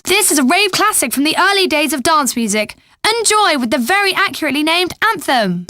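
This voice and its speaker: A British female pop radio DJ for a video game, high-pitched and bright, with very lively swoops. She is cheeky and sardonic, breezily sarcastic in her scripted patter, in a close, dry studio sound. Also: dry recording, full character voice. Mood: upbeat, breezy.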